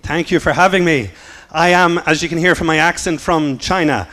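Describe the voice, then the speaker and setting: A man from Ireland with an Irish accent giving a joking, lively presentation at a conference. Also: a little high, moderate pace. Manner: joking, lively, self-deprecating. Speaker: conference speaker. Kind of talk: fast-paced humorous presentation talk.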